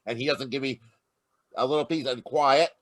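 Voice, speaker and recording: A man's voice: medium pitch, steady tone, moderate pace, no echo, lightly acted. A man playing a short-tempered police lieutenant in an old-time radio detective drama, grumbling and blustering. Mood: exasperated. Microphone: video call.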